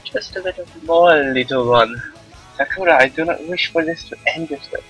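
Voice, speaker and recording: A man's voice: fairly high pitch, brisk, fairly bright tone, breathy edge, low-quality recording. A man voicing a stern, exasperated older-sister spirit woman while reading a visual novel aloud. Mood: grave, pleading. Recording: music behind the voice.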